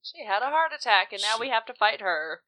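A woman's voice: medium pitch, very animated, brisk, bright, forceful tone, a little hiss or hum. A woman chatting casually and role-playing as a player in a tabletop game. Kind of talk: casual chat and role-play.

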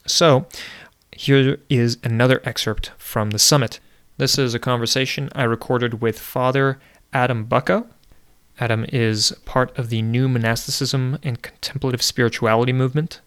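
A man hosting a podcast, introducing a guest and asking questions in a relaxed way, often saying "uh". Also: medium pitch, moderate pace, clear, resonant tone. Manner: relaxed, with frequent "uh"s. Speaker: podcast host and interviewer.

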